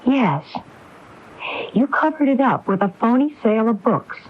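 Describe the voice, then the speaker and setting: An actress playing a determined, grieving woman who questions and accuses deliberately in a 1980s radio drama. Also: on the high side, big pitch swings. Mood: accusing, grieved. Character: determined grieving woman confronting her brother over fraud. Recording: muffled 1980s AM radio broadcast tape.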